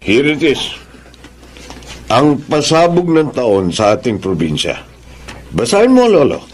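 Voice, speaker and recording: An actor playing a smug, ambitious grandson plotting in politics, theatrical and sprinkling in English, in a Filipino radio drama. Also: male voice, mid-range voice, big pitch swings, unhurried.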